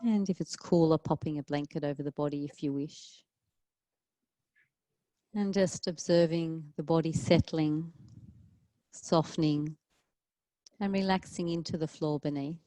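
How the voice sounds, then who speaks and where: Low, mellow woman guiding relaxation. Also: no room sound. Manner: calm, soothing, gentle instruction with long pauses. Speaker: yoga teacher leading a class. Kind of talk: guided relaxation, yoga nidra.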